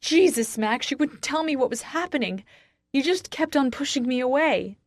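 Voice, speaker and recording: A woman's voice: medium pitch, steady tone, a little slow, a little mellow, no room sound, studio quality, natural voice. A woman narrator playing a distraught, defensive wife in an audiobook, pleading and emotionally raw. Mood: screaming hurt.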